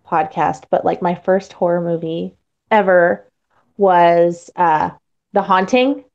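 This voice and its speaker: Woman with lowish voice, dull recording. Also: steady tone, takes it slowly, a little mellow. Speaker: podcast guest, voice actress. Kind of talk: casual chat.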